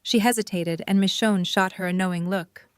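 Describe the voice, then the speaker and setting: A woman's voice: mid-range voice, fairly even intonation, moderate pace, dry recording. A woman reading scripted third-person narration for an audio drama. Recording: clear background noise with hum.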